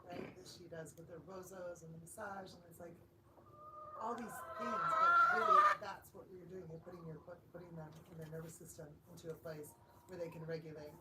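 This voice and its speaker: Distant, echoey lower-voiced woman. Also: big pitch swings, a little fast. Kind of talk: casual explanation in a group talk. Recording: distant, echoey, very noisy live recording.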